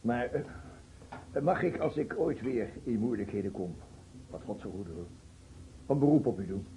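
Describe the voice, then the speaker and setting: An effusive, pleading boyar ruler played by a man in a radio drama. His mellow voice swings widely in pitch as he repeats himself earnestly, over a faint hiss. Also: animated, normal speed, natural voice, poor audio. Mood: effusive, insistent. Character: agitated, pleading male boyar ruler of a war-torn realm. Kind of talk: radio play acting.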